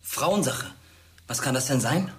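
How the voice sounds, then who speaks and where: A man's voice: mid-range voice, lively intonation, a little slow, a bit bright, slight background noise. A young man playing a cocky, needling teenage schoolboy in a naturalistic radio drama, talking in a taunting, colloquial way. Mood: confrontational. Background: music.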